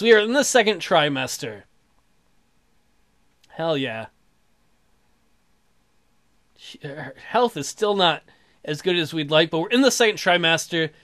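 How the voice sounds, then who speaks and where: A man's voice: sits high, pushed and bright. A man from Louisiana hosting a let's play, giving casual, rambling comic commentary on the game. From Louisiana, United States. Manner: joking, rambling. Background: music.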